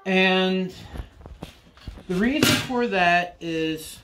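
A man in his forties talks through a tool review in a cluttered workshop. His voice sits a little high, and he speaks slowly and loosely, doubling back on his words. The mic sits close, as if clipped to his body. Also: animated, noisy background. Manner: slow, rambling, with frequent restarts and "you know". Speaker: woodworking channel host. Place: cluttered indoor workshop or basement.

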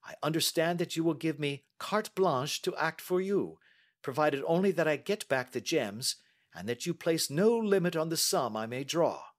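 A man's voice: a little high, lively intonation, moderate pace, voice pushed up. A man reading aloud and voicing a middle-aged male detective in a precise, formal, assured manner.

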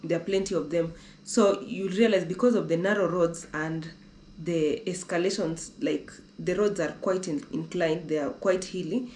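A woman's voice: on the low side, fairly even intonation, moderate pace, a little mellow, echoey room, a little hiss or hum. A young woman from Kenya chatting casually about a road trip on a living-room sofa, repeating herself and restarting sentences often. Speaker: travel vlogger. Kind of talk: casual conversational travel talk.

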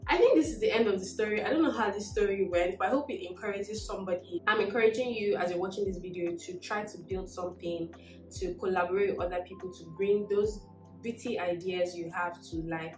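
A woman's voice: lowish voice, roomy sound. A young woman from Nigeria telling her story to camera in a casual, upbeat, encouraging way, sitting in a living room. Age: young adult. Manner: upbeat, encouraging, gestures while talking. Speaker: tech vlogger.

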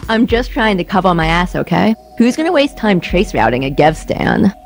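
A woman's voice: fairly low pitch, sing-song, moderate pace, faint music behind. A woman playing a casual, awkwardly dutiful female investigator in an audio drama. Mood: defensive.

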